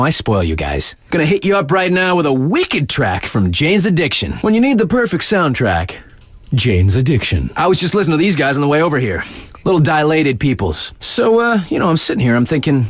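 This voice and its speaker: Lively, cocky snowboard radio DJ. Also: male voice, fairly high pitch, dry recording.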